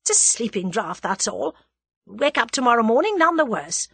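A woman's voice: on the high side, big pitch swings, moderate pace, creaky, a bit bright, husky, dry recording, full character voice. A woman voicing a menacing, imperious villainess with a cold, commanding and scornful manner in an audiobook story. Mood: cold menace.

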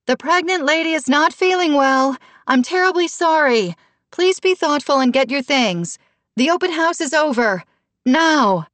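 A woman's voice: high voice, very animated, unhurried, no echo, voice pushed up, heavily acted. A woman narrator voicing a worried, exasperated, pleading pregnant mother in an audiobook. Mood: loud, firm.

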